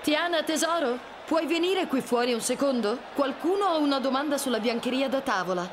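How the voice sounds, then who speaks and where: A kindly older mother, with a high voice. She is an affectionate cartoon character, with clear ambient noise behind her. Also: lively intonation, fairly quick. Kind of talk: animated game dub.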